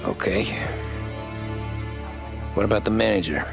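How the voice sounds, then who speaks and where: An actor playing a tough but uneasy young gangster in a crime game, with a terse, blunt way of talking. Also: male voice, fairly low pitch, a little slow, vocal fry, music in the background. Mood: wary, obedient. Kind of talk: video game crime drama acting.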